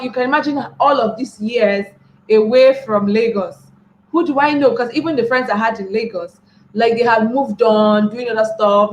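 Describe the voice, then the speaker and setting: A young Nigerian woman giving a motivational webinar talk, repeating key phrases and checking in with her listeners by asking "right?". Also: a little high. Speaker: webinar speaker. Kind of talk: motivational talk to an online class. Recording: echoey room with faint background noise.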